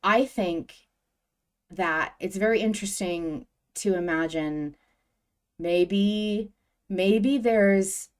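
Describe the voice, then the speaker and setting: A woman's voice: mid-range voice, extremely slow, clear, resonant tone. A woman hosting a podcast, chatting casually as a fan in an informal discussion. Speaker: podcast host.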